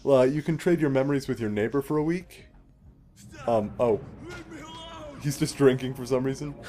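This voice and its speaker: A man's voice: mid-range voice, moderate pace, warm tone. A man giving casual, explanatory commentary as he plays a game, with a wry way of talking. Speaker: let's play host playing the game. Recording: game music behind.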